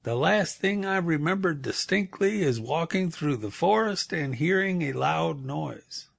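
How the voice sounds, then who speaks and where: A man voicing a bewildered, squeaky flying creature with a moose-like head, speaking formally and mournfully. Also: animated, a little slow, vocal fry, fairly bright tone, heavily acted.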